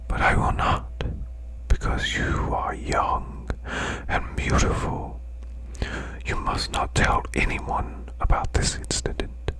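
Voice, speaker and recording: A performer voicing a male demon boss who tells bedtime tales in ASMR style, in a hushed, breathy, growling whisper with slow, deliberate delivery. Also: rough recording. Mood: slow menace.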